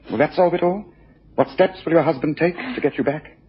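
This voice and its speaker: An actor playing a shrewd, analytical detective in a radio drama, speaking in a precise, formal, probing way. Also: male voice, a little high, brisk, slightly rough. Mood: measured, concerned. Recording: muffled lo-fi radio recording.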